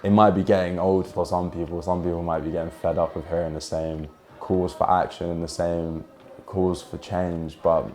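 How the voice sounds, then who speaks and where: A man's voice: low voice, mellow. A twenty-year-old man from Jordan and the UK gives earnest, heartfelt, reflective personal testimony. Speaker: documentary subject telling his family's story.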